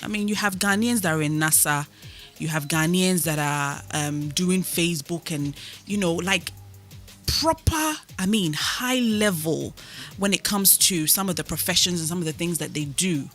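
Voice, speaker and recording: A woman's voice: fairly low pitch, animated, normal speed, fairly bright tone, soft background music. A woman speaking as an interview guest, explaining things in a casual, conversational way.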